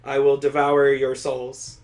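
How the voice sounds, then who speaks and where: A man's voice: medium pitch, completely flat delivery, slow pace, darkish tone, faint music behind, natural voice. A man voicing a dry, impatient auntie in a visual novel who makes teasing threats. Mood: mock menace.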